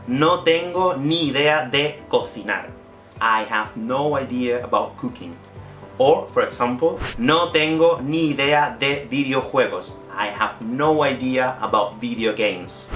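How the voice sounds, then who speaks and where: Man, Spanish accent, native Spanish speaker, bright forceful voice, language teacher, instructional delivery, echoey room. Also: medium pitch. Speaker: online Spanish teacher presenting a video lesson. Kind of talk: instructional video presentation to camera.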